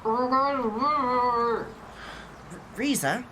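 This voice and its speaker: A woman's voice: fairly high pitch, drawn-out words, pushed and bright, a little hiss or hum, exaggerated voice, rough recording. A woman playing an earnest, persuasive exiled shapeshifter in a tabletop roleplay.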